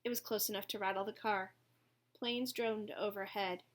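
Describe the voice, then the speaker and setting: A woman's voice: mid-range voice, steady tone, moderate pace. A woman narrating a first-person story from a written text, as a reader of an audiobook.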